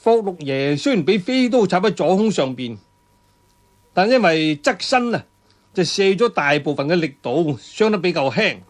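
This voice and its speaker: A man's voice: high-pitched, very animated, moderate pace. A man with a Cantonese accent narrating a martial arts tale in traditional storytelling style, dramatic and emphatic. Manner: dramatic, emphatic delivery with exclamations and sound words.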